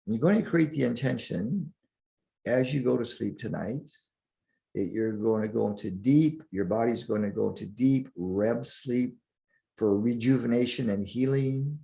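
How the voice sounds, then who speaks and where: A man guiding a sleep meditation in a soothing, deliberate manner, leaving long pauses between short phrases. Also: medium pitch, creaky, mellow. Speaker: meditation guide.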